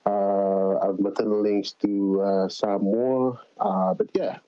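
A man from Fiji, a guest climate organizer, speaking relaxed and hesitantly over a call with many fillers. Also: on the low side, takes it slowly, clear, resonant tone, dry recording. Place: home or office in Fiji. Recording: dull lo-fi call audio.

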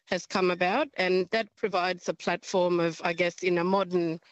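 Halting, low-voiced woman. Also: fairly even intonation, no echo, lo-fi sound. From northern Australia. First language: a local clan language. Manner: halting, many ums, thoughtful. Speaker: guest, language teacher. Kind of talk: radio interview.

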